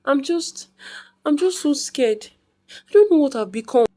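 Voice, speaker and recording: A woman storyteller plays an anguished young mother from a folktale, terrified of her own dark craving. Her voice is pitched up, halting and pleading, with words repeated. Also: expressive. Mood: scared.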